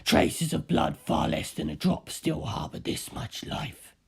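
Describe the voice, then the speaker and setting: A man voicing an ancient superhuman villain in an audiobook, menacing and contemptuous, with a very deep, gravelly, husky voice and slow theatrical delivery. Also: animated, full character voice. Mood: cold, superior. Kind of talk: dramatized audiobook character voice.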